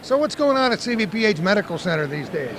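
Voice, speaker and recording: Chatty high-voiced man hosting at a crowded expo. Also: very animated, fast talker, a crowd in the background. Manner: chatty, jovial, laughs often. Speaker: local TV host. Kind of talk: casual on-location interview. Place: busy indoor business expo.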